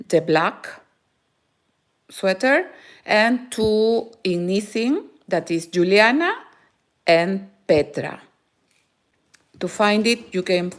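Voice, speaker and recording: Woman, Peruvian Spanish accent, native Spanish speaker, crochet teacher, live tutorial, slow unhurried pace, halting speech with word-searching pauses. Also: lowish voice. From Peru. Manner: halting, searching for words, frequent "eh" fillers.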